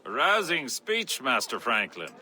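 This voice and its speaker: An actor playing an imperious, urbane male colonial gentleman with a pompous, theatrical manner in a video game. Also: medium pitch, wildly swooping pitch, unhurried, heavy background noise. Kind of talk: dramatic video game acting.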